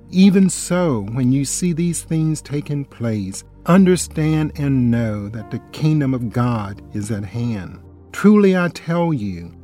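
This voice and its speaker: A man's voice: mid-range voice, warm tone. A man co-hosting a radio ministry, earnestly reading a scripted Bible study with quoted scripture and definitions.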